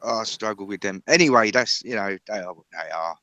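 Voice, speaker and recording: A chatty man on a casual video call who is quick with questions. His voice comes across forceful and bright. The sound is close and dry, with no room around it. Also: lowish voice, dry recording. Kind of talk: casual group chat.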